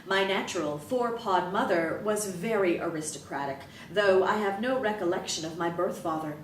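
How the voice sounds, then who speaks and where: Woman reading a story, roomy and distant. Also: normal speed. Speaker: reader. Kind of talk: reading a novel excerpt aloud. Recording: roomy, distant mic, faint rumble.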